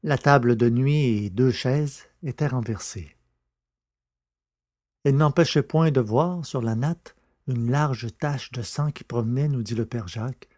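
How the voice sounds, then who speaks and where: A man's voice: mid-range voice, a little mellow, no room sound, studio quality. A man reading scripted literary narration as the narrator of an audiobook.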